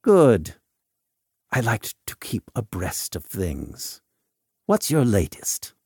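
Eccentric shadowy doctor, played by a male reader: formal, coolly mocking, theatrical, highly animated intonation, dry close sound. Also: takes it slowly, husky, dry recording, heavily acted. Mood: politely probing. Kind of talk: audiobook character dialogue.

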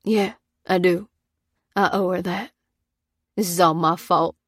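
A woman's voice: medium pitch, fairly even intonation, normal speed, a little mellow, no echo, clean studio sound, lightly acted. A woman voicing a distraught farmer husband in an audiobook, pleading in a trembling, choked voice. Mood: grief-stricken, choked.

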